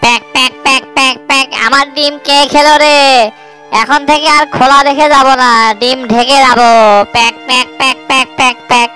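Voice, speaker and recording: A woman's voice: high voice, flat delivery, fairly quick, sharp tone, soft background music. A woman voicing a fretful mother duck in a children's cartoon, quacking repeatedly between short plaintive phrases. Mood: upset, plaintive. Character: fretful mother duck guarding her eggs. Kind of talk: children's fairy-tale cartoon voice acting.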